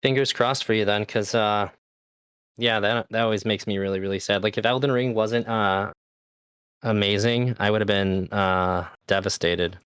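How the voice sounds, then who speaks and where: Casual man in his thirties, smooth voice. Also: lowish voice, fairly even intonation, normal speed, fairly bright tone, dry recording. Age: 30s. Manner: loose and chatty, swears casually. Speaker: podcast host. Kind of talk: casual chat.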